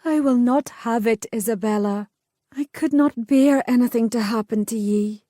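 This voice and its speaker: Firm protective young sister, high and soft-toned, a woman doing her. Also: normal speed, dry recording, studio quality, natural voice. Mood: pleading.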